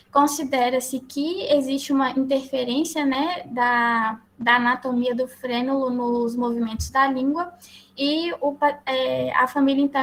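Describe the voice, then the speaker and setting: A woman with a Brazilian accent presenting slides as a lecturer, explaining in a halting way. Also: high-pitched, fairly bright tone, rough recording. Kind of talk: slide presentation to health professionals. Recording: clear background noise.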